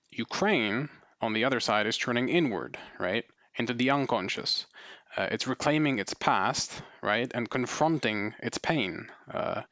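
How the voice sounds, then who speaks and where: Low-voiced man explaining, dull dry sound. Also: fairly bright tone, dry recording. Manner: thinking aloud with many fillers and "right?" tags. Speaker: video essay presenter. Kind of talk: unscripted explanatory talk.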